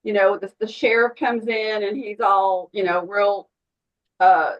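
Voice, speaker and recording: A woman with a mid-pitched voice speaks as a participant in a casual group discussion. She rambles through her thoughts and circles back to restate them. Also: flat delivery, a little slow, lo-fi sound. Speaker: workshop participant.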